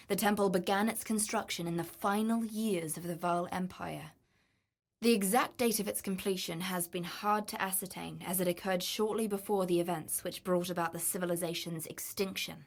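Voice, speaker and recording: An actress playing an adventurous, greedy woman treasure hunter in a video game, confident, wry and theatrical. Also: on the low side. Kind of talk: video game character voice lines.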